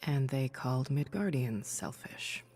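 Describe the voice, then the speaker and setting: A woman voicing a weary, noble superhero thunder goddess for a video game, confiding in measured, breathy speech. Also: extremely low pitch, expressive, darkish tone, big-room sound, faint music behind, exaggerated voice. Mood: somber. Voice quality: breathy, husky. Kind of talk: video game voice acting, dramatic dialogue and audio-log monologue.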